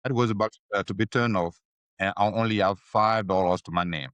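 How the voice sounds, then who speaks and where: A man's voice: fairly low pitch, a little fast, a bit bright, clear, resonant tone, no room sound. A man from Ivory Coast with an Ivorian French accent, a native French speaker, answering interview questions hesitantly with many fillers. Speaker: podcast guest. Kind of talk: casual interview talk.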